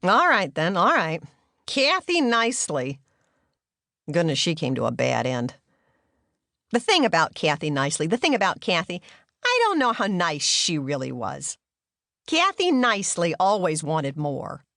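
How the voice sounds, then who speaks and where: A woman's voice: fairly low pitch, wildly swooping pitch, measured pace, a bit bright, dry recording, studio quality, full character voice. Woman playing a plain-spoken, gossipy older mother. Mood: gossipy, wry. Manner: rambling, self-correcting, judgmental storytelling. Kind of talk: theatrical monologue in character.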